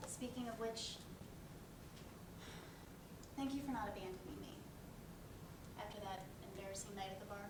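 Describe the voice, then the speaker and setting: A woman's voice: mid-range voice, moderate pace, long pauses, natural voice. A woman playing a guarded young woman in a naturalistic play reading, understated and clipped. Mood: guarded, casual. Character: guarded, grieving young woman, brushing off concern. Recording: echoey room, distant mic, loud noise.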